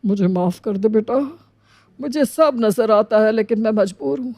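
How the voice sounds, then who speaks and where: A woman's voice: medium pitch, moderate pace, a little mellow, dry recording, studio quality, natural voice. A woman voicing an elderly father in a moral story, hurt and remorseful, pleading with emotion. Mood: guilty, sorrowful.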